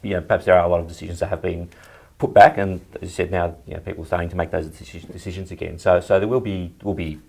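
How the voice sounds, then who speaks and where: Deep, gravelly, quick-talking Australian man. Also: level tone, darkish tone. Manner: hedging, explanatory. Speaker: economist guest. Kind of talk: unscripted interview analysis.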